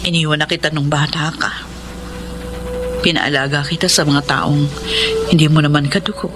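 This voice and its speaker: A woman's voice: low-pitched, steady tone, normal speed, background noise, lightly acted. An actress with a Filipino accent playing a patient, remorseful mother in a radio drama, earnest and consoling. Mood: tender, remorseful.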